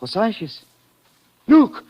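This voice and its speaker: A man's voice: high-pitched, sing-song, slow, lo-fi sound, heavily acted. A man playing an alert, uneasy Portuguese village fisherman in a 1940s radio drama. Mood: alarmed, urgent. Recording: 1940s radio recording, music behind.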